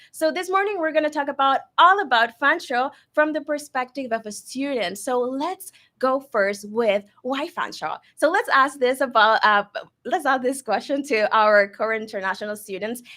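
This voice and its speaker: A woman's voice: a little high, sharp tone. A woman hosting a live student panel, upbeat and encouraging, sometimes restarting her sentences. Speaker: livestream host.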